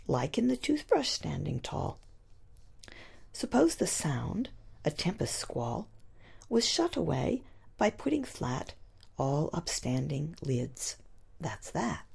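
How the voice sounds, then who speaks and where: A woman poet reciting her own verse expressively, with a husky, breathy, gravelly voice and theatrical, deliberate emphasis on the rhymes. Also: sits low, warm tone. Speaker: poet reading own work.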